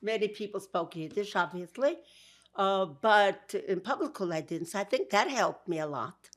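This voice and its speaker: A woman's voice: medium pitch, lively intonation, normal speed. Older woman with a Brooklyn accent. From Georgia. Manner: warm, with frequent laughs and restarts. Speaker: guest. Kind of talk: interview, memories.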